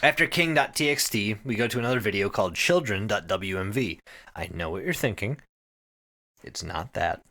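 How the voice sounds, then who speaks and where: A man hosting a podcast, giving a casual, profanity-laced story recap to his co-hosts in an animated way. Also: fairly low pitch, normal speed. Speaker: podcast host.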